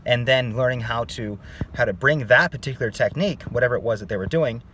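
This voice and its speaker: A man explaining singing technique in a relaxed, conversational way, often turning to the viewer with "okay?" His voice sits at an ordinary middle pitch. A faint noise stays under the recording. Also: quick pace. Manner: conversational, addresses viewers as "guys", frequent "okay?" check-ins. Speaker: voice teacher. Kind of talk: casual online singing lesson.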